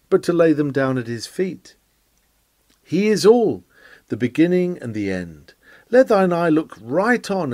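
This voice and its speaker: A man's voice: on the high side, a little mellow, no echo. A man reading a historical sermon aloud in an earnest, exhortatory and rhetorical manner, with dramatic delivery.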